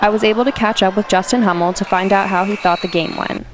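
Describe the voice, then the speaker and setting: A teenage girl reading a school sports report in a steady, scripted way. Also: medium pitch, quick pace, background noise. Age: teens.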